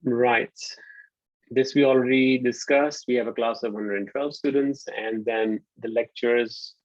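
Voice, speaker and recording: A man from Pakistan in his thirties giving a relaxed online university lecture, often pausing with ums. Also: medium pitch, no room sound. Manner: relaxed, hesitant with frequent ums. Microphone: computer mic on a video call. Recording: dull lo-fi video call audio.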